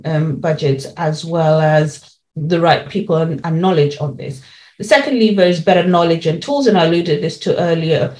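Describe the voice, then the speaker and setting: A woman giving explanatory interview answers as a policy lead, laying out points in measured, formal terms. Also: sits low, a little mellow.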